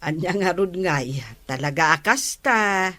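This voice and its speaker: A woman acting a sympathetic, inquisitive neighbour in a comedy radio drama, with a husky voice. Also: fairly low pitch, lively intonation, measured pace, sharp tone, no room sound.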